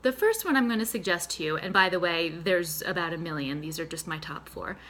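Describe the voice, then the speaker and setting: A woman's voice: on the low side, lively intonation, a little fast, fairly bright tone, a little hiss or hum. A woman with a Boston accent, a psychotherapist and nutritionist explaining things step by step in a friendly, conversational talk. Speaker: psychotherapist and mental health nutritionist. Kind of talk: casual educational video talk.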